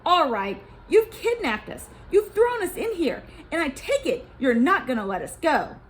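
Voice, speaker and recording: A woman's voice: high voice, big pitch swings, normal speed, voice pushed up. A woman voicing a bold, angry young boy in a storybook, blunt and defiant. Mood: angry defiance.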